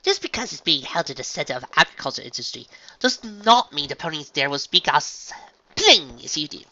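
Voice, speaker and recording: A narrator voicing a refined, fussy young female unicorn dressmaker who speaks in a genteel, dramatic, gently chiding way. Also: medium pitch, big pitch swings, fairly quick, lo-fi sound, pitched above the performer's own voice. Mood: stern. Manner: genteel, dramatic, proper speech.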